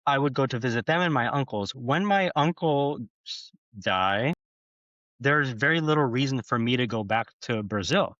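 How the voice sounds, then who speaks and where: A man's voice: mid-range voice, animated, normal speed, a bit bright, dry recording, lo-fi sound. A man from the United States, a podcast guest talking in a casual, opinionated way and often checking in with "right?". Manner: opinionated, frequent "right?" tags. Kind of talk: casual conversation.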